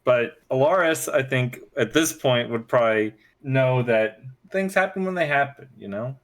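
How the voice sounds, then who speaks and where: A man's voice: mid-range voice, animated, moderate pace. A man chatting in an easygoing, slangy way as a co-host on a podcast. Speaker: podcast host and tabletop player. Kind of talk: casual chat.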